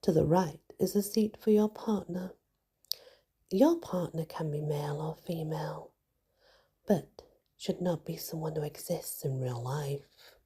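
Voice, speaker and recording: Slow, breathy whispering woman. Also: low-pitched. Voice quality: soft, breathy, mostly whispered. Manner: slow, hushed, soothing delivery with pauses. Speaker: guided meditation narrator. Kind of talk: ASMR scripted guided meditation.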